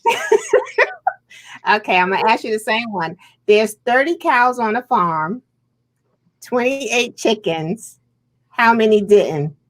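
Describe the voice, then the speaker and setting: A woman's voice: a little high, animated, takes it slowly, pauses often. A woman from Alabama with an Alabama accent, hosting a casual chat and teasing her guest with lots of laughter. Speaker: host.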